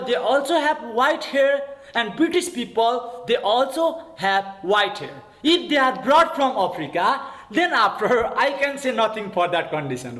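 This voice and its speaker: A man's voice: extremely high pitch, a bit bright, echoey room. A man with a Nepali accent teaching English in an online class, spelling words out letter by letter and checking again and again that students follow. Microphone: video call.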